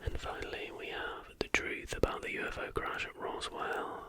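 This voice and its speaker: Whispering man, ASMR narrator. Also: moderate pace. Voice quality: unvoiced, breathy whisper. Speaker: host of an ASMR channel.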